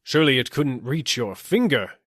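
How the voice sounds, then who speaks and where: A self-important police superintendent played by a male reader blusters through a storybook tale. He is stern and bossy, with a very animated, swooping delivery, recorded close and dry. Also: medium pitch, normal speed, dry recording, voice pushed up. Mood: blustering, suspicious. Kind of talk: audiobook character acting.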